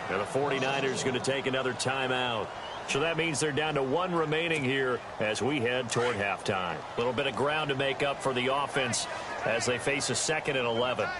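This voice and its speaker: A man playing an American TV play-by-play football announcer in a video game, brisk and professional. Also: medium pitch, very noisy, natural voice. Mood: measured. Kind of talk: sports commentary in a video game.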